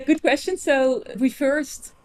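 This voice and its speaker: A woman's voice: high-pitched, slow pace, darkish tone. A Dutch-born woman chatting as a podcast guest, often restarting her sentences and laughing easily. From Netherlands. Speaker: podcast guest, agency owner. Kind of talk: casual interview chat.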